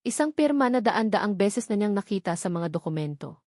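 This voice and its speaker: A woman's voice: mid-range voice, very animated, fairly quick, a little mellow, no room sound. Close, dry Filipino woman storyteller. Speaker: narrator. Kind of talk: dramatic scripted audio story narration.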